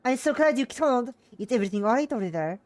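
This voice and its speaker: Woman acting a nagging Russian mother, high-pitched, loud, thick put-on accent, emphatic, doting, audio drama. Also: animated, quick pace, lightly acted. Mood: anxious, relieved. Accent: exaggerated put-on Russian. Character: worried, scolding, doting Russian mother on the phone.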